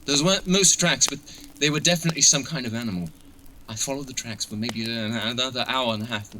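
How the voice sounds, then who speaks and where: An actor playing a shaken young man lost in the wilderness, telling things haltingly in an audio horror drama. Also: medium pitch, pushed and bright, a little hiss or hum, close to an everyday voice, rough recording. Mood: subdued, fearful. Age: young adult. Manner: halting, stammering, haunted.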